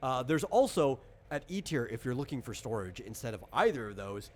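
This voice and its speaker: A man's voice: mid-range voice, sing-song, a little fast. A man acting as game master, casually explaining tabletop game rules and thinking aloud as he goes. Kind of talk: casual explanatory chat during character creation.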